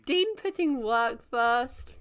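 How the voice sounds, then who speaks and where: A woman's voice: on the high side, lively intonation, takes it slowly, fairly bright tone. A woman giving casual, amused and giggly reaction commentary as the host of a reaction video.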